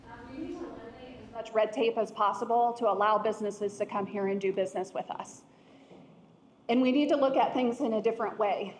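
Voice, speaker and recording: A woman with a higher voice speaks loudly and confidently as a candidate at a forum. Faint background noise sits behind her. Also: brisk. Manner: loud, confident. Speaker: city council candidate. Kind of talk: forum answer.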